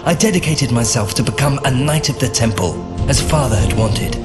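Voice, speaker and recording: An actor playing an earnest, devout young Templar knight in a dramatic game story, speaking in a solemn, formal way. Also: male voice, medium pitch, expressive, brisk, close to an everyday voice. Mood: solemn, reflective. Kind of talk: dramatic scripted game narration and dialogue. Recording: loud music over the voice. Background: loud music.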